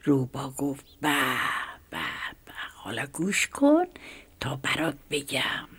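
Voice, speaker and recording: A woman voicing a sly, scheming male fox in a children's storybook tale, calling out in drawn-out, excited tones. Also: rumbling low, gravelly, husky, pitched below the performer's own voice, exaggerated voice. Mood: persuasive.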